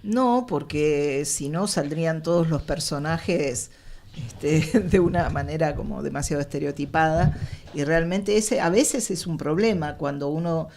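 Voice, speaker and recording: A woman with an Argentine accent talks casually in a discussion. Her voice has a gravelly creak, and she builds her sentences in a halting, hesitant way. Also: deep voice, a little mellow, slight background noise. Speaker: panelist.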